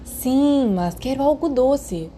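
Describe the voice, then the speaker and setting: A woman's voice: sits high, expressive, takes it slowly, warm tone, slight background noise, voice pushed up. A woman voicing a nervous, hungry teenage schoolboy with a whining, pleading manner in an audiobook story. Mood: whiny, hungry.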